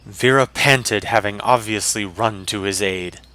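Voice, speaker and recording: A man's voice: lowish voice, a little slow, bright, forceful tone, smooth. A man giving scripted narration of a fantasy adventure story.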